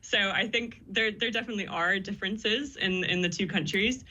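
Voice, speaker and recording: Bright-voiced young woman, radio guest. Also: mid-range voice, normal speed, dull audio. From born in the US, raised in the Netherlands. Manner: chatty and upbeat, laughs often. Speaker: guest, PhD student. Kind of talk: radio interview.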